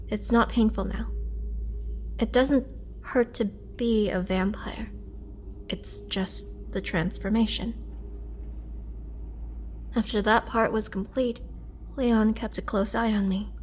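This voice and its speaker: A woman playing a sorrowful, vulnerable young vampire and former farm girl, speaking hesitantly and softly in an intimate roleplay monologue, sometimes near a whisper. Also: medium pitch, fairly even intonation, slow, long pauses, a little hiss or hum, natural voice. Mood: tense, recollecting. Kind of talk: roleplay audio drama, intimate first-person monologue.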